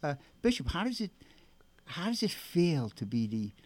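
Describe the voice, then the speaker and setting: A man's voice: fairly high pitch, very animated, moderate pace, darkish tone, no echo. Effusive man hosting religious radio. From Brooklyn, New York. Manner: effusive, gushing. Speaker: radio show co-host.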